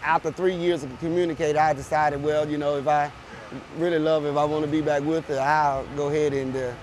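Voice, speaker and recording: A man's voice: fairly high pitch, level tone, slight background noise. Middle-aged man from Alabama, easygoing. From Birmingham, Alabama. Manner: unhurried, homespun storytelling. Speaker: interviewee.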